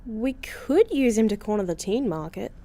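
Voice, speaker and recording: A woman's voice: mid-range voice, lively intonation, moderate pace, mellow, lightly acted. A woman playing a cool, curt superhero who gives clipped, dismissive verdicts in a comedy radio play. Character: curt, cool female superhero judging tryouts.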